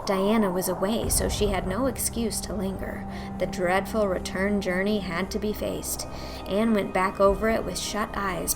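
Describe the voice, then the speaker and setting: A woman narrating a scripted storybook as its host, with a measured, expressive delivery. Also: mid-range voice, creaky, rough recording.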